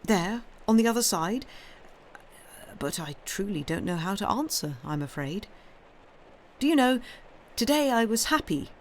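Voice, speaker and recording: A reader voicing a lonely, timid young man in an audiobook, impulsive and effusive, with a halting, pleading delivery. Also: high voice, very animated, a little hiss or hum, heavily acted. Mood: flustered. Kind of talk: dramatised audiobook reading.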